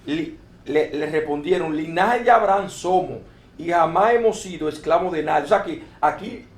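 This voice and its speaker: A man with a slightly high voice reads Bible verses and explains them, stumbling and repeating himself as he goes. The sound is roomy and echoey. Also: moderate pace. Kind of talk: informal Bible discussion. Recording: echoey room.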